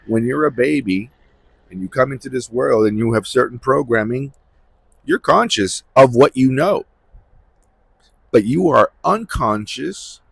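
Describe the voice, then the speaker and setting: A man hosting a Bible-reading series, giving informal commentary in a halting, repetitive way and often checking for agreement. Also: mid-range voice, vocal fry, breathy edge, no room sound.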